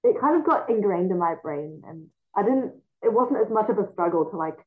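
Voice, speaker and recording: Through a narrow, phone-like sound comes a teenage girl co-hosting a radio show. She is a native Mandarin speaker who grew up in China and Australia. Her pitch is average and her delivery is casual and informal. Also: lively intonation, fairly quick. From China, then Australia from early childhood. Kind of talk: casual radio chat with scripted links. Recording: thin, phone-like band-limited sound.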